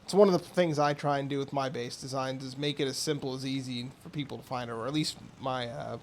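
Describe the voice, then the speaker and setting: A man streaming a game with casual, rambling commentary, hoarse, raspy and a bit mumbly from being sick. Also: medium pitch, steady tone, a little fast, a little hiss or hum. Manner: rambling, chatty asides.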